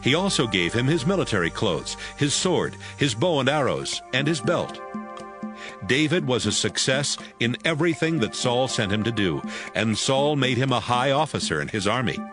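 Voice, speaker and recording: A man with a medium-pitched voice narrates scripture as a dramatized story. His reading is scripted, and music plays clearly behind him. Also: sing-song, normal speed.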